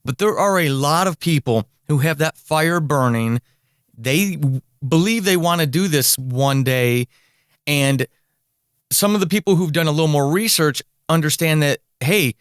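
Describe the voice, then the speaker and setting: A man's voice: on the high side, measured pace, fairly bright tone, smooth, no echo, clean studio sound. A man hosting a conversational interview podcast, thinking aloud and rephrasing as he goes. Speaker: podcast host.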